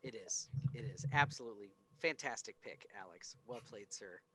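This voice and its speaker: Bright-voiced man, an enthusiastic podcast host. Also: lowish voice, normal speed. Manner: enthusiastic, emphatic. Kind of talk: casual film discussion chat.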